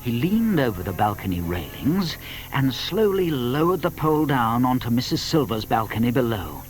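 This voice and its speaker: A man narrating a children's story from a script, with deliberate emphasis. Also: medium pitch, big pitch swings, soft background music, poor audio.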